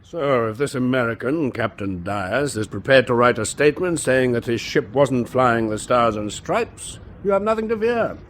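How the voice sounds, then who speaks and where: A man's voice: mid-range voice, animated, moderate pace, hardly any pauses, natural voice. An actor playing a worldly, genial German naval captain in a wartime radio drama, urbane and expansive in his talk. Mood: genial, confiding.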